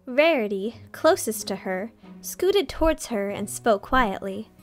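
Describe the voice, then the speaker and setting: A woman's voice: a little high, lively intonation, unhurried, faint music behind. A woman reading a fan fiction story aloud as narrator, in a scripted storytelling style.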